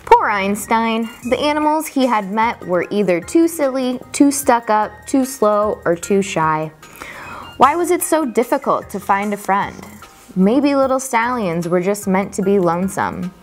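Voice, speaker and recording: A woman reading a children's picture book aloud as a storyteller, expressively and deliberately, drawing out key words for emphasis. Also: medium pitch, expressive, faint music behind.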